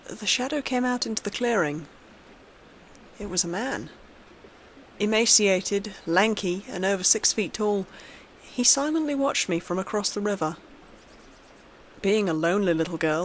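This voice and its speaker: Woman narrating horror, faint noise behind. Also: medium pitch. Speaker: narrator, story reader. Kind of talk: scripted horror story narration.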